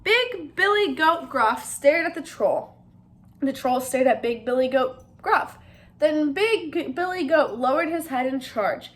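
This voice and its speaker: A woman's voice: sits high, lively intonation, a little slow, a bit bright. A woman reading a storybook aloud to children in an interactive narrating style.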